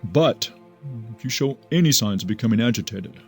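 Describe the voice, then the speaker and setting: A man's voice: mid-range voice, animated, a little fast, darkish tone, faint music behind, close to an everyday voice. An actor playing a careful, commanding hypnotherapist in an audio drama, a man guiding a patient. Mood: firm, reassuring.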